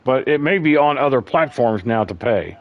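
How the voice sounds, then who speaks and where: A man with a bassy voice hosting a gaming livestream, chatting casually and rambling through stories. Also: expressive, normal speed, narrow, tinny audio, slight background noise. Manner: rambling, chatty storyteller, calls people bro. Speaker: livestream host. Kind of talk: casual chat.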